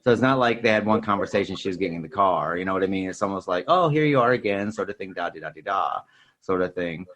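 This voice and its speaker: Man, low voice, casual film podcast talk, rambling phrasing. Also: lively intonation, fast talker, a bit bright. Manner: rambling, repeats "you know" and "sort of thing".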